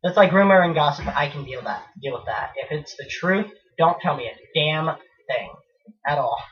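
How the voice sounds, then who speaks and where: A man's voice: fairly high pitch, expressive, moderate pace. A young man co-hosting a casual chat show, talking frankly and mocking himself. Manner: frank, blunt and self-mocking. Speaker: co-host. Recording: distant mic, dull lo-fi sound.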